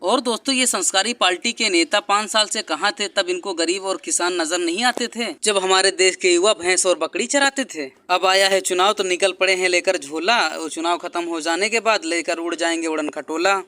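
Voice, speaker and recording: Bright high-pitched man narrating satire. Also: moderate pace, few pauses, dry recording. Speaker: narrator of political troll video. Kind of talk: scripted rhyming satirical narration.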